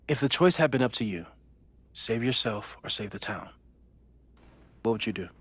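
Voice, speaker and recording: An actor playing an earnest, analytical and worried friend, gentle and thoughtful, in naturalistic video game dialogue. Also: male voice, mid-range voice, animated, normal speed, husky. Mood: concerned, thoughtful.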